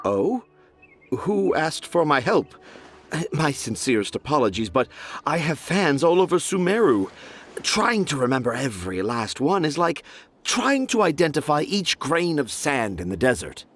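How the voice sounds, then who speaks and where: A man's voice: on the high side, sing-song, measured pace, a bit bright, exaggerated voice. A man voicing a pompous, dreamy children's author in a video game, speaking in grand, flowery phrases. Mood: grandiose.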